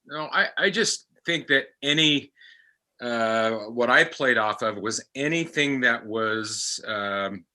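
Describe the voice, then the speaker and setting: A man speaking in a halting, deliberate way as an actor in a casual panel discussion. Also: medium pitch, sharp tone. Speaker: podcast actor explaining his character.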